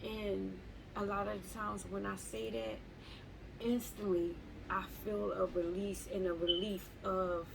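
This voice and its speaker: A woman talking slowly and haltingly in a reflective live journal at home, often repeating words as she searches for them. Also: mid-range voice, little pitch movement, vocal fry, background noise. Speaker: vlogger.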